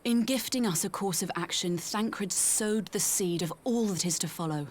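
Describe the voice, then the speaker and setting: A woman voicing a gentle, solemn young seer in a video game, with measured, archaic phrasing. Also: medium pitch, close to an everyday voice. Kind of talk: scripted video game cutscene acting.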